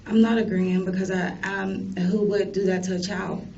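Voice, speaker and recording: Female suspect in police interrogation, dark mellow voice, curt defensive denials, distant noisy recording. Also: medium pitch, level tone, normal speed. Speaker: suspect being questioned by police. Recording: distant muffled interview recording, clear background noise.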